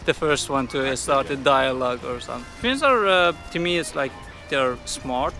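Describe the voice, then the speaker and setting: Young Iranian-student, chatty. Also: male voice, medium pitch, animated, moderate pace, bright, forceful tone, soft background music. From Iran. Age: young adult. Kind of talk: casual interview answers.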